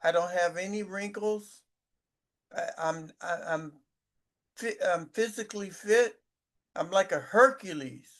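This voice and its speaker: A man's voice: high voice, a little slow, long pauses, a bit bright. A man in his sixties in casual chat, boasting, repeating himself and stumbling over his words. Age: 60s.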